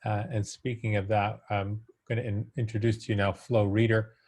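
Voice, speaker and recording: A man moderating an online panel, introducing speakers informally in a somewhat halting, hesitant way. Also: fairly low pitch, little pitch movement, darkish tone. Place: remote video call.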